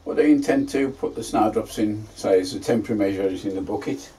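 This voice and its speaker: A man's voice: fairly low pitch, fast, warm tone, slightly rough, rough recording. A man, chatting casually as he shows his allotment work in a greenhouse. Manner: chatty, homely, plain-spoken. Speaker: allotment vlogger. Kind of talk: casual gardening vlog narration.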